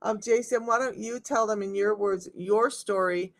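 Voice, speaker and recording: A middle-aged woman with a raspy voice, chatting casually to viewers on a live stream as a health coach. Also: medium pitch, normal speed. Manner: chatty, talks straight to viewers.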